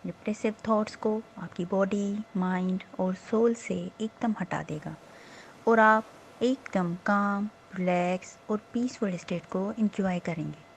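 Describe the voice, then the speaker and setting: A woman meditation instructor leading a slow guided relaxation in a soothing, unhurried, gently instructing manner with pauses. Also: medium pitch, slight background noise, poor audio.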